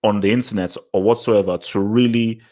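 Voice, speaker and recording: Male podcaster, Nigerian accent, moderately low voice, conversational discussion style with emphatic repetition. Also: fairly even intonation, fairly quick, warm tone. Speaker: podcast host. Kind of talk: casual discussion podcast chat.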